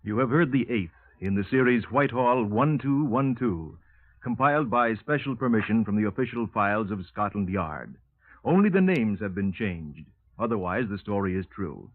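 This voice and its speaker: A man's voice: medium pitch, no room sound. A male announcer reading scripted credits in a 1950s radio style. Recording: dry, tinny 1950s radio broadcast.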